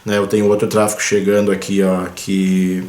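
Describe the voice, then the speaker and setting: A man with a somewhat low voice talks through aviation gear in a relaxed, explanatory way. He keeps checking in with listeners with little fillers as he points at a screen. The sound bounces around a roomy space at home. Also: normal speed. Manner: chatty, fills with "né" and "ó", points at screen. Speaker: pilot and aviation video host. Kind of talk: casual explanatory vlog talk. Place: at home, indoors.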